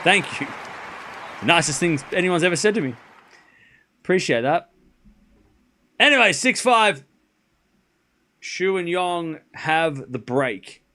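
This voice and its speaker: Excitable Australian man calling tennis. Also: takes it slowly, pauses often. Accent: Australian, softened. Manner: rises excitedly on rallies, drops low and muttering between points. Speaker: streamer commentator. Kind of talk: live play-by-play commentary with chat.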